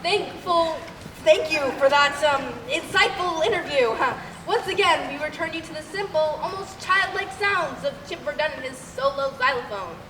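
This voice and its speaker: A woman's voice: high-pitched, lively intonation, normal speed. A young woman playing a pompous, domineering radio playwright and announcer, grandiose and bossy, in theatrical stage comedy. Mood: flustered formality. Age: young adult. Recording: roomy noisy stage hall, far mic.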